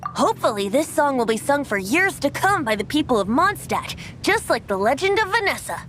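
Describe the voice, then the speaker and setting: A youthful boy bard from a video game, high and very melodic in intonation. He sounds playful, poetic and free-spirited, over a clear bed of music. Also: big pitch swings, brisk, fairly bright tone. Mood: breezy. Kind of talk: animated video game voice acting. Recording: music behind the voice.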